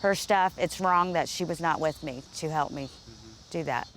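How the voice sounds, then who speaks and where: Middle aged woman being interviewed, lowish voice, faint noise behind her. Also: normal speed, a bit bright. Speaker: interviewee in news report. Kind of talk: halting personal interview.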